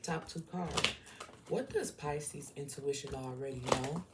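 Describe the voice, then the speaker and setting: Woman, Southern US accent, low pitch, unhurried pace, tarot reader, blunt, emphatic, repetitive, informal. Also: lively intonation, heavy background noise. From southern United States. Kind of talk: informal spiritual tarot reading to viewers.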